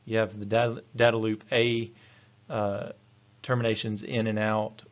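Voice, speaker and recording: Male technical trainer, low and monotone, methodical delivery, slightly muffled lo-fi recording. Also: unhurried. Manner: matter-of-fact, methodical. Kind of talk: slide-based technical lecture.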